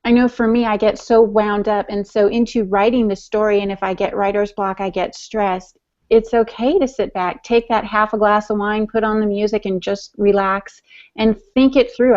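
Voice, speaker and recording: A woman's voice: medium pitch, darkish tone. A woman co-hosting a podcast in casual conversation, chatty and playfully teasing. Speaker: podcast co-host. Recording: dull, lo-fi podcast audio.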